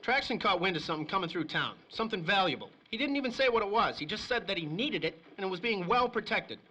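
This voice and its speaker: High-pitched, shifty radio snitch. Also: male voice, expressive, fast, sharp tone, exaggerated voice. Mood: reluctant confiding. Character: nervous, shifty male small-time crook turned snitch. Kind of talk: 1930s old-time radio crime drama acting.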